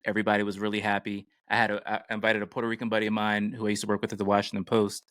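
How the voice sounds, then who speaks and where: Low-voiced American man, dry close sound. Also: monotone, racing, fairly bright tone, dry recording. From United States. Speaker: podcast host. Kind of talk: casual podcast chat.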